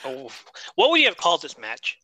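A man's voice: a little high, wildly swooping pitch, a little fast, bright, forceful tone, slight background noise. A man co-hosting a casual wrestling podcast, chatty and joking as he reads through his notes. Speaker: podcast co-host. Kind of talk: casual wrestling review chat.

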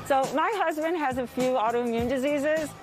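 A woman's voice: sits high, moderate pace, poor audio. Bakery owner woman over music. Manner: conversational, matter-of-fact. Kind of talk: interview soundbites. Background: music bed.

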